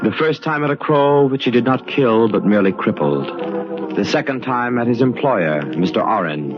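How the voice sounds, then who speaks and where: A man narrating a radio thriller from a script in a portentous, measured way. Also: mid-range voice, lively intonation, close to an everyday voice. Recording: dull lo-fi radio recording, music behind. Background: music.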